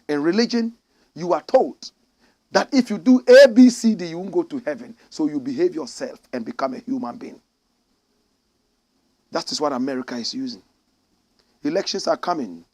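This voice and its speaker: Man, from Ghana, high voice, political commentary, preachy, emphatic, loud bursts and muttered asides. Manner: preachy, emphatic, swings from shouting to low asides.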